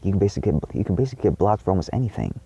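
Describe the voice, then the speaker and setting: Casting: male video creator with a dark, very raspy, gravelly voice, delivering indignant, emphatic rants straight to viewers. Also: low voice, expressive, racing, muffled recording. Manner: frequent swearing. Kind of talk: impassioned monologue to viewers.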